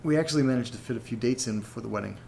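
Actor doing a gravelly young guy rambling into his podcast diary, audio drama, halting, faint noise behind him. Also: mid-range voice, warm tone, close to an everyday voice. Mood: wistful, wry. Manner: halting, rambling, self-deprecating. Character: rattled, rambling young man recording a personal podcast. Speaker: narrator character of a horror audio drama. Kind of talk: confessional audio diary monologue, conversational.

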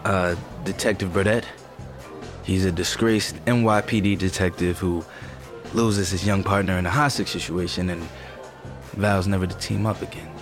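A man's voice: sits low, steady tone, moderate pace, music in the background, close to an everyday voice. An actor playing a mild, reassuring male partner with a slightly hesitant manner in a naturalistic audio drama. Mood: bashful. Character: gentle, supportive male partner, bashful aspiring writer.

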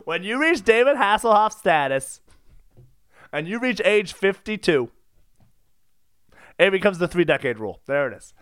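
High, bright-voiced man joking around. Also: very animated, moderate pace, long pauses, clean tone. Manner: jokey, laughs often while talking. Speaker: co-host. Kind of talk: comedic podcast banter.

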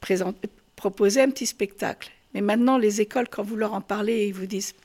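A woman's voice: medium pitch, fast talker, darkish tone. A woman from France explaining her craft as an interview guest, hesitating and repeating words as she goes. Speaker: puppet maker and artist, interview guest. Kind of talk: casual interview.

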